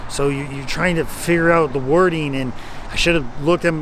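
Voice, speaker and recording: A man's voice: a little high, fairly even intonation, normal speed, noisy background. A man in his thirties, a stand-up comedian, talking candidly to a handheld camera outdoors at night. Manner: candid, self-deprecating, chatty. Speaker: stand-up comedian and vlog host. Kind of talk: casual vlog talk to camera. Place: outdoors at night on a city street. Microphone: camera held at arm's length.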